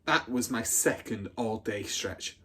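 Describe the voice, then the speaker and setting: A man's voice: fairly low pitch, takes it slowly, a bit bright, husky, exaggerated voice. A man voicing a boastful, battle-hardened older schoolgirl in a storybook, telling her exploits with swaggering drama. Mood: dramatic, aggrieved.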